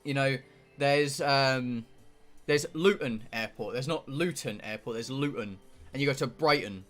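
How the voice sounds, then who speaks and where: Bright-voiced southern English man. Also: medium pitch, measured pace, soft background music. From England. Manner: sweary, blunt. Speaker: game streamer. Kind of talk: casual rambling livestream chat.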